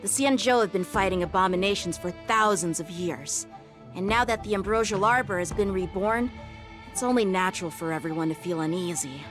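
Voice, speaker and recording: A woman voicing a brisk, authoritative young woman official in a game, speaking firmly. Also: mid-range voice, lightly acted. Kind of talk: game voice acting. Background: game music.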